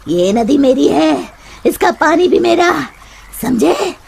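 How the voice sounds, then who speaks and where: High-pitched, menacing cartoon river witch. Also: animated, gravelly, a little mellow, exaggerated voice. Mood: angry, bossy. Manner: imperious, taunting, drawn-out threats. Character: menacing, haughty female river witch with cackling laugh. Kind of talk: Hindi cartoon story voice acting. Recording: noticeable background noise.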